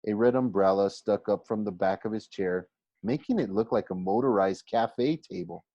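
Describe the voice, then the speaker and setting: Low-voiced man reading a story aloud. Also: dark tone, no room sound, dull audio. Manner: halting, stumbles and rereads phrases. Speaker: teacher reading aloud for a class. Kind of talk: expressive audiobook-style reading of a children's novel.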